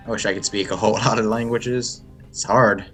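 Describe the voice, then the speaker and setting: A man's voice: lowish voice, fairly even intonation, brisk, fairly bright tone, soft background music. An American man co-casting a live esports match, easygoing, making short remarks. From United States. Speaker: esports co-caster. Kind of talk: live game commentary.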